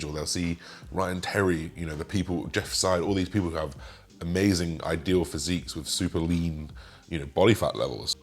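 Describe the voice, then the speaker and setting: Man, 20s, low voice, slightly raspy, casual rambling q and a chat. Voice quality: raspy today. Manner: chatty, rambling, many fillers and restarts. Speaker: fitness vlogger answering viewer questions. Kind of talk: casual Q and A monologue.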